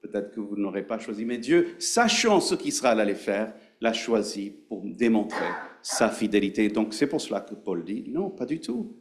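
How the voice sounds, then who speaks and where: A man preaching a sermon in a conversational, halting way, with frequent fillers. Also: fairly low pitch, big pitch swings, a little hiss or hum.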